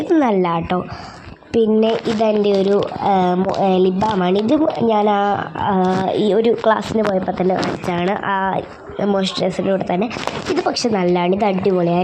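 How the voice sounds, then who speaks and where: A woman's voice: mid-range voice, noisy background. A woman with a Malayali accent and a mid-range voice narrates a skincare vlog. She speaks casually and informally, straight to her viewers. Her delivery is unpolished, with hesitations as she explains each step. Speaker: skincare vlogger.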